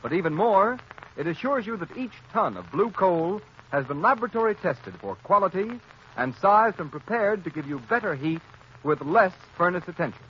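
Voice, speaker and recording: Male vintage radio announcer, slightly high, lively inflection, formal scripted sales delivery. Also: normal speed. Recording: muffled, noisy 1930s radio recording.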